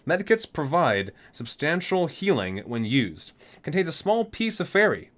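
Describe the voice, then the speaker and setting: A man's voice: fairly high pitch, very animated, unhurried, a bit bright. A man doing an ASMR video, reading item entries aloud item by item and adding enthusiastic casual asides. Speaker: ASMR video creator, gamer.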